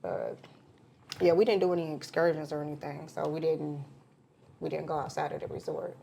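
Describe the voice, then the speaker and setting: A woman with a fairly low voice tells a story in an easygoing podcast chat. She acts out what other people said as she goes. Faint hiss sits behind her. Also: a little fast, creaky. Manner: animated storyteller, acts out quotes. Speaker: podcast co-host.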